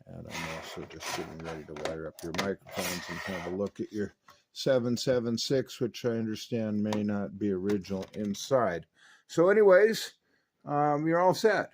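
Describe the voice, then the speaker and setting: A man's voice: lowish voice, moderate pace, darkish tone, a little hiss or hum. A man working as a radio repair technician, methodically narrating a hands-on demonstration for a customer step by step. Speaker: radio repair technician presenting his restoration work.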